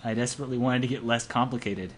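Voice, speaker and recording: A man's voice: mid-range voice, steady tone, fast talker. A young man reading a visual novel aloud as an amateur narrator, in a hammy, playful way that mixes dramatic reading with joking asides. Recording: amateur home recording with clear background noise.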